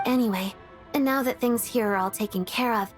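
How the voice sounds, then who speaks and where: A woman's voice: a little high, brisk, over music, close to an everyday voice. A woman playing a composed, caring young woman in a fantasy video game. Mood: matter-of-fact.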